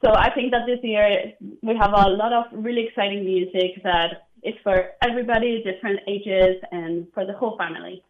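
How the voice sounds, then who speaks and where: A woman's voice: medium pitch, brisk, hardly any pauses, dull audio. A woman from Argentina, a conductor chatting enthusiastically as an interview guest and laughing often. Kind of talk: broadcast interview.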